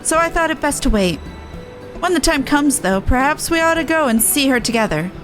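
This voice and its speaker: A woman's voice: sits high, expressive, brisk, music in the background, rough recording. A woman voicing a young cat-folk resistance soldier in a game, earnest, battle-worn and blunt. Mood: resolved, gentle. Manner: earnest, blunt, rough-and-ready phrasing. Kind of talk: game dialogue voice-over.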